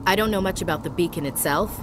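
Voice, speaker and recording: Low-voiced, resolute young marine woman, acted. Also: quick pace, background noise, close to an everyday voice. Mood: earnest, explaining. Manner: earnest, military, plainspoken. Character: young female human marine, shaken but resolute survivor. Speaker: soldier. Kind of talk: video game cutscene acting. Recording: music behind, game soundscape.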